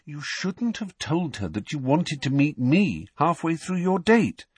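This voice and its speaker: A man's voice: a little high, wildly swooping pitch, normal speed, husky, dry recording, pitched above the performer's own voice, full character voice. A man voicing a brainy teenage girl who explains things patiently, with faint exasperation, in an audiobook. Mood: maddeningly patient.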